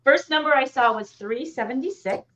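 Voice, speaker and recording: A middle-aged woman with a fairly high voice co-hosts a casual live chat. She is on a video call from home, and the sound is a little dull. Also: expressive, moderate pace, fairly bright tone. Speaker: co-host. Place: furnished room at home, indoors.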